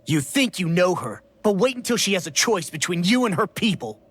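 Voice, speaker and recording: An actor playing a bitter, hot-headed teenage boy with a husky voice and a defiant manner in a fantasy anime game. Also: high-pitched, very animated, brisk, a bit bright, full character voice. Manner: defiant, surly. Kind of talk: English-dubbed anime game voice acting.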